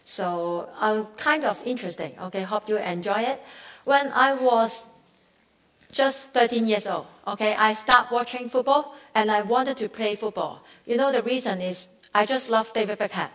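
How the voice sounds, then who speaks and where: Chatty woman speaker, higher voice, heard from afar with faint noise. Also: moderate pace, fairly bright tone. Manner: chatty, tag questions like "okay?". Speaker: panel speaker, football coach. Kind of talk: personal story at a conference. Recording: distant mic, faint background noise.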